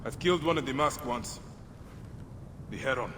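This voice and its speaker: An actor playing a grim, vengeful Medjay warrior in a video game cutscene, terse and grave with dry teasing. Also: male voice, medium pitch, moderate pace, natural voice. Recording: long reverb, steady background noise.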